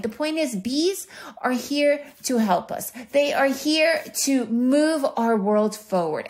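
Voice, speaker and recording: Travel podcast lady chatting away excitedly, kinda high voice, lots of can you believe that. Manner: enthusiastic, uses rhetorical questions. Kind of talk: casual monologue.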